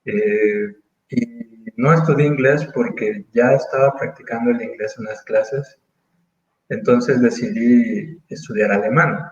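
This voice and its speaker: A man in his thirties from Mexico, speaking as an interview guest in casual conversation, thoughtful and full of pauses and restarts. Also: mid-range voice, steady tone, reverberant, muffled recording. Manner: thoughtful, hesitant, many fillers and restarts.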